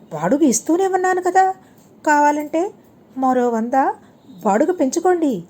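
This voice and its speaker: A woman's voice: high-pitched, warm tone. A woman voicing a thrifty father who rents his home, earnest and reasoning, in an audiobook story. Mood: startled, pleading. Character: thrifty father Ramanatham, a worried male tenant.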